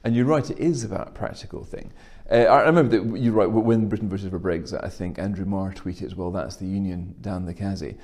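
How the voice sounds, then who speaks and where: Low, mellow-voiced man arguing a political point. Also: a little fast, hardly any pauses. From Scotland. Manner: fluent, argumentative, building points step by step. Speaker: guest commentator. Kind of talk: political discussion.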